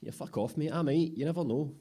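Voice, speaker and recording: A man with a Scottish accent voicing a cheeky young apprentice joiner, lively and defensive. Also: a little high, fast talker, pitched above the performer's own voice, close to an everyday voice.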